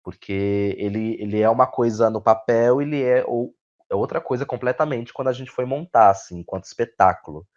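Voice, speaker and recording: A man's voice: medium pitch, brisk, darkish tone. A man with a Brazilian Portuguese accent talking reflectively as an interview guest, often repeating words and adding tag questions. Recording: dull, lo-fi audio.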